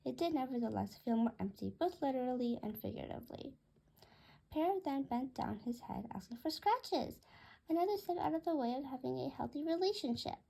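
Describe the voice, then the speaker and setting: A woman narrates a storybook aloud in a high voice with plenty of creak. Her tone is soft and mellow, with a husky, breathy edge. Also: animated, a little fast, rough recording. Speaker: author reading own book aloud. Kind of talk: scripted storybook narration in first person.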